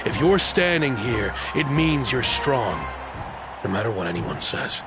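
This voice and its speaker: A man's voice: mid-range voice, very animated, unhurried, over loud music. A man voicing a cocky, battle-hungry arena fighter in a video game. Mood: confident challenge.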